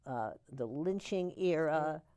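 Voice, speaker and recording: A woman's voice: low voice, animated, takes it slowly, dark tone, clear, resonant tone. A woman hosting a talk show, interviewing a guest and steering her with questions. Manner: leading with questions.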